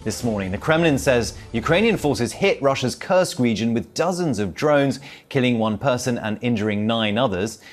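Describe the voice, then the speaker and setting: A man's voice: mid-range voice, lively intonation, moderate pace. Male news broadcaster over music. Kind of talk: scripted news report.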